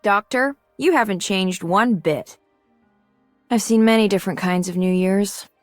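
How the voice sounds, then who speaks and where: A woman voicing a mature, resolute and idealistic swordswoman in a video game, composed and earnest in her measured delivery. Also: mid-range voice, no echo, studio quality, faint music behind, lightly acted. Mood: composed, encouraging. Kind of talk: scripted video game character lines.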